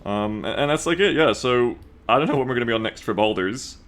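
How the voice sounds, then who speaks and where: A man chatting casually to viewers as a game streamer, rambling, excitable and swearing freely. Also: mid-range voice, fast talker. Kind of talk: casual livestream chat to viewers. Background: music.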